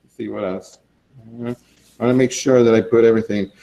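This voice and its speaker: A man from Argentina, demonstrating a recipe in a casual, enthusiastic way while thinking out loud. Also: fairly low pitch, fairly even intonation, fairly quick, gravelly, highs cut off. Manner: chatty and enthusiastic, thinking aloud with fillers. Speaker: cooking demonstrator.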